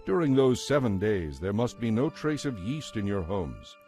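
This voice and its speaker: A man narrating scripture in a solemn, measured and authoritative way, as part of a dramatized reading. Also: on the low side, big pitch swings, warm tone. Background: background music.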